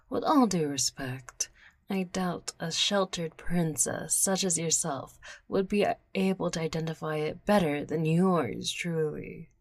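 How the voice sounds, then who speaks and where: A woman's voice: on the low side, dry recording, studio quality. A woman playing a boastful, stubborn genius engineer in a sci-fi roleplay, pompous and theatrical. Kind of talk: ASMR audio roleplay acting.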